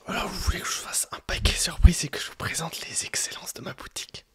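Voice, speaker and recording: A person whispering in an ASMR roleplay as a coffee shopkeeper, speaking to the listener in a hesitant, improvised sales patter with an airy, breathy voice. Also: mid-range voice, quick pace. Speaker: ASMR performer playing a coffee roastery shopkeeper.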